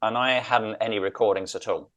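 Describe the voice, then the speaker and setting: A man's voice: lowish voice, fairly even intonation, fairly quick, a bit bright. A middle-aged man from London with a southern English accent, talking reflectively and earnestly as an interview guest, often repeating phrases for emphasis. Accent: English, southern British. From London, England. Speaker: interview guest, executive coach.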